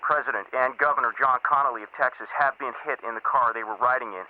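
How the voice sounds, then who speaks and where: An actor playing a brisk, formal military radio operator in an audio drama, clipped and procedural, through a radio filter. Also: male voice, mid-range voice, fairly even intonation. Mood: brisk, businesslike. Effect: two-way radio filter. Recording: tinny, noisy two-way radio link.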